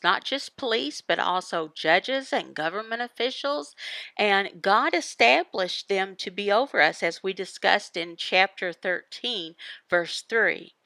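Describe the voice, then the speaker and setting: A woman giving a devotional Bible teaching in emphatic, halting phrases, asking questions and answering them. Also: mid-range voice, creaky, pushed and bright, no echo. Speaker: voice interpreter for a signed devotional. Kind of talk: devotional Bible teaching, voiced from sign language.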